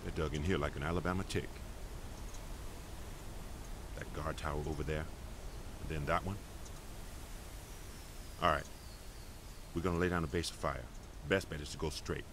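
An actor playing a gruff, no-nonsense, terse squad leader in a video game. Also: male voice, rumbling low, fairly even intonation, a little fast, pauses often, background noise. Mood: commanding. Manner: terse, commanding. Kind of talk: video game dialogue acting.

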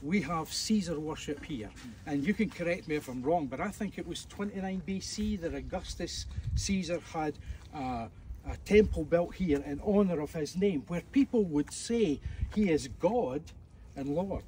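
A man's voice: on the high side, expressive, moderate pace, dark tone. A man with a Scottish accent preaching conversationally to a tour group outdoors, with jokes and fillers. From Scotland. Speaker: evangelist. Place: outdoors at ancient ruins. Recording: distant mic outdoors, faint background noise.

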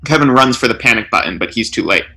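Male narrator for comic short fiction: deliberate, theatrical, lively melody, forceful tone. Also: medium pitch. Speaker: story reader.

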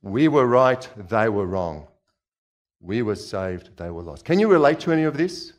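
A middle-aged preacher born in Czechoslovakia, speaking through a headset mic on a church stage. He talks in a relaxed, chatty way, often turning to the crowd with questions. His voice sits at an ordinary, middle pitch. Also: moderate pace. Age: 40s. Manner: chatty, questions the audience, moves about gesturing. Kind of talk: conversational sermon.

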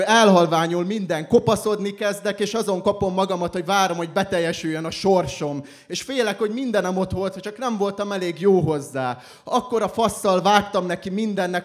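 Young guy doing slam poetry, pretty high voice, dramatic and emphatic delivery. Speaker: slam poet performing.

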